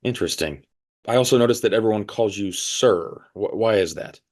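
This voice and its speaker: A man's voice: on the low side, a little fast, natural voice. A man playing a curious, flustered interviewer in an audio play, with a hesitant, stammering, inquisitive manner. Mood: curious, puzzled. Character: curious, flustered male interviewer visiting a strange commune.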